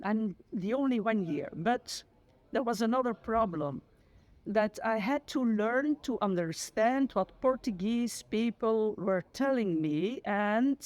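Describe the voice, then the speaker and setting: A retired teacher in her sixties from Flanders, a Dutch native with a Flemish accent, giving an earnest personal account and often pausing to search for words. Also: medium pitch, a little mellow, no room sound. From Flanders, Belgium. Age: 60s. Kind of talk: personal testimony to camera.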